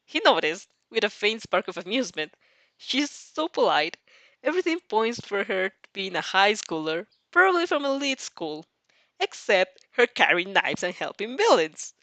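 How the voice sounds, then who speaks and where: A woman reads a story aloud with a very lively, swinging intonation. She is giggly and stumbles here and there as she reacts to the text, in a dry, close sound. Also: medium pitch, normal speed, dry recording. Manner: giggly, stumbles over words. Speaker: fan fiction reader reacting as she reads. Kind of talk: expressive story reading with amused reactions.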